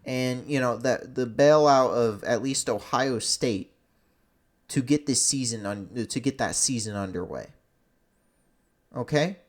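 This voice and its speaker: A sports fan delivering an unscripted, opinionated rant in an exasperated, emphatic, profane way, often halting and repeating phrases. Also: mid-range voice.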